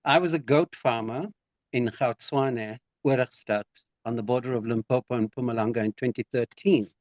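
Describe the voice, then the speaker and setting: A man's voice: mid-range voice, normal speed, a little mellow, no room sound. A man joining a conference discussion over a video call, talking informally and a little flustered as he starts. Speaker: online conference participant. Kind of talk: casual remarks in a discussion. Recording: dull, lo-fi call audio.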